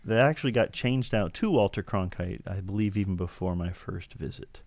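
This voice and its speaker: A middle-aged man co-hosting a casual home podcast, explaining details in a knowledgeable way into a studio boom mic. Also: brisk, a little mellow, dry recording. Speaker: podcast co-host. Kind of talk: casual chat. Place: home studio room with shelves of collectibles. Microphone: studio mic on boom arm.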